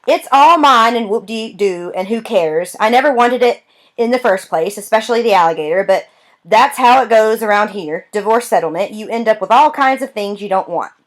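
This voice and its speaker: A woman reading aloud, voicing a gruff middle-aged female motel clerk who is curt and dismissive. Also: on the high side, expressive, moderate pace, close to an everyday voice, poor audio. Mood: grumbling.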